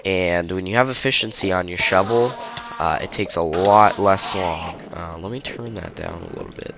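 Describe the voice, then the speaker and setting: A man's voice: deep voice, normal speed, gravelly, background noise. A young man with a stuffy nose, hosting a casual game commentary and rambling through it with many ums and uhs. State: stuffy, under the weather. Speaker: gaming video host.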